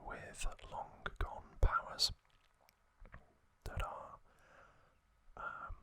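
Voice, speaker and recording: A man whispering gently in an ASMR style, hosting with frequent pauses, close to the microphone. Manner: slow, gentle delivery with pauses. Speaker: host of an ASMR trivia channel.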